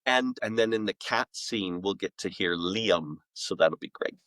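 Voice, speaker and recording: A man's voice: deep voice, a little slow, fairly bright tone, no echo. A middle-aged man, a podcast guest and author, telling casual anecdotes in an enthusiastic, rambling way.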